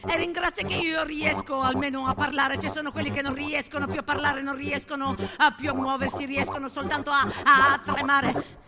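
A woman's voice: sits high, little pitch movement, moderate pace, loud music behind the voice, natural voice. A woman playing an agitated, defiant shell-shocked male soldier who stammers and repeats himself in a theatrical war monologue. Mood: frantic, bitter.

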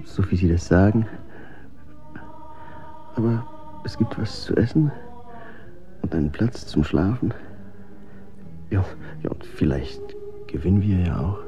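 Worn out polite young man, deep slow halting voice, radio play. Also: lively intonation, mellow, lo-fi sound, soft background music. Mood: weary, grateful. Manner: halting, subdued, courteous. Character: weary, polite young man in endurance contest. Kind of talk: radio drama acting.

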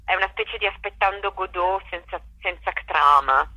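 A woman speaks with a very bright, crisp tone, recorded dry and close. She talks thoughtfully about her work, restarting phrases as she hunts for the right word. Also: medium pitch, normal speed, no echo, muffled recording. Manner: reflective, searching for words with many restarts. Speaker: theatre director and actress. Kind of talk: conversational interview.

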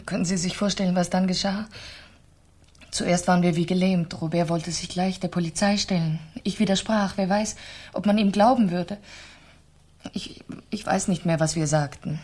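An actress playing an anguished, pleading French wife confessing in a German radio crime drama, with a halting, urgent delivery. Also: female voice, lowish voice, steady tone, darkish tone. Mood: shaken, confessing.

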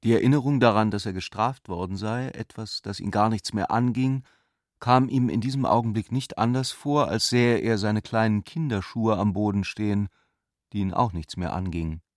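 A man's voice: fairly low pitch, moderate pace, no echo, studio quality. A man narrating literary prose for a radio drama.

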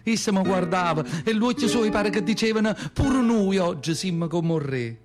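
A man playing an earthy, feisty old Southern Italian woman who tells her memories in expressive Neapolitan dialect, in a theatrical radio monologue. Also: sits high, moderate pace. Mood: tender, nostalgic. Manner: expressive, colloquial dialect storytelling. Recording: prominent acoustic guitar music behind.

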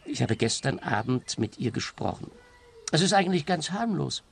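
A man's voice: on the high side, sing-song, moderate pace, gravelly, breathy edge, exaggerated voice. A man with a Swiss accent acting a resigned middle-aged husband in a radio crime comedy, matter-of-fact and world-weary. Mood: matter-of-fact grumbling.

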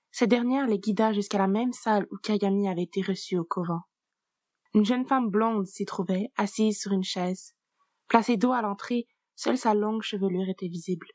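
A woman narrating a fan story in a calm, even storytelling manner. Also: mid-range voice, no room sound, studio quality. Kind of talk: reading a fan story aloud.

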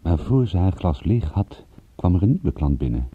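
A man's voice: low-pitched, sing-song, moderate pace, husky, no room sound, exaggerated voice. An actor playing a wry, observant male private detective who narrates and converses in a dry, sardonic radio-drama style. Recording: dull, muffled old radio-play recording.

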